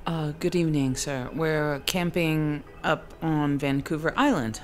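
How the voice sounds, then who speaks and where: An actress playing an apologetic, uneasy camper at the wheel in a radio drama, with hesitant, polite speech. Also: female voice, low voice, unhurried, a little mellow, slight background noise. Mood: nervous. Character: apologetic, uneasy traveler driving to go camping.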